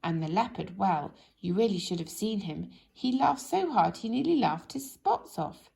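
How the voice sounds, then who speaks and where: Lively woman reading a children's storybook. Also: medium pitch, moderate pace, gravelly. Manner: animated, addresses young listeners with questions. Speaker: storybook reader. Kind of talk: expressive children's story narration.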